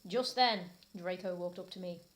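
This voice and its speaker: A British woman with a theatrical, animated way of talking, hosting a mocking dramatic reading of fanfiction. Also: lowish voice, moderate pace. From Britain. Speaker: host reading fanfiction aloud.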